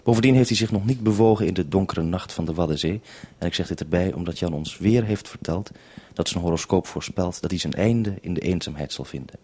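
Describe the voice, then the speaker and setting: A Dutch man with a deep, relaxed voice presents on the radio in a wry manner. The sound is close and dry, and he puts his questions with dry irony. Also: fairly quick, hardly any pauses, dry recording. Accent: Dutch, from the Netherlands. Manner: wry, dryly ironic. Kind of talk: radio report and two-way interview.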